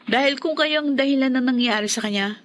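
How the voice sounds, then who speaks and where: A woman's voice: sits high, fairly quick, natural voice. An actress playing a distraught, accusing young woman in a Filipino radio horror drama, insistent and emotional. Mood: accusing, upset.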